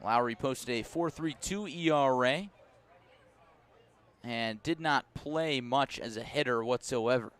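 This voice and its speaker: An American man works as a baseball play-by-play announcer on live radio. His voice sits at a medium pitch. He describes the game in a measured, detailed way and lifts his delivery when the action calls for it. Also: fairly bright tone, smooth. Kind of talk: live radio sports commentary.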